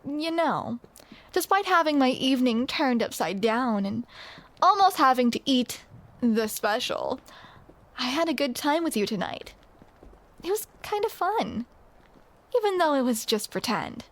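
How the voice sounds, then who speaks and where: A woman's voice: high-pitched, very animated, a little slow, pauses often. A young woman performing a scripted romantic roleplay to the listener, with playful, teasing banter.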